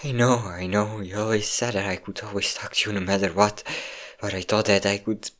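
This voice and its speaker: A man playing a depressed young man who tearfully confides in his best friend, halting and self-deprecating, in an intimate audio roleplay. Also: lowish voice, level tone, a bit bright, natural voice. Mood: vulnerable, halting. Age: young adult.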